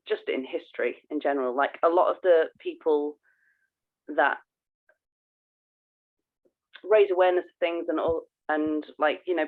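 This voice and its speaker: A woman co-hosting a casual podcast, halting and earnest as she thinks aloud. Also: low-pitched. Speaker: podcast co-host. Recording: tinny, phone-like narrow band.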